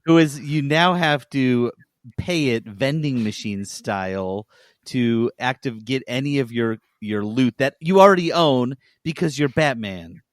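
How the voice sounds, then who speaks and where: A man's voice: medium pitch, measured pace, no room sound. Man chatting on a dry-sounding podcast. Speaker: podcast co-host. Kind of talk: casual gaming chat.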